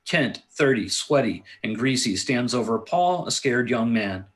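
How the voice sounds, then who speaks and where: A man with a mid-pitched voice reads screenplay directions as narrator. His delivery is scripted but halting, with words stumbled over as at a table read. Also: animated, fairly bright tone. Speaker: narrator reading stage directions. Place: indoors on a remote internet call.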